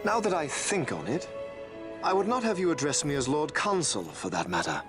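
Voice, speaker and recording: An actor playing an eloquent, calculating imperial consul, speaking in a stately, formal, theatrical manner for a video game. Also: male voice, a little high, big pitch swings, over music. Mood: genial, commanding. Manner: stately, eloquent, measured oratory. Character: smooth, regal adult male imperial consul, eloquent and calculating.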